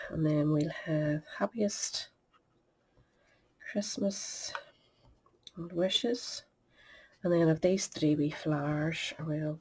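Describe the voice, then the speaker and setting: A woman's voice: sits low, mellow, husky. Chatty woman crafting, sniffly. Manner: chatty and homely, muttering asides under her breath. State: sniffly with a cold. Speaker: craft tutorial host. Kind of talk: step-by-step crafting demonstration.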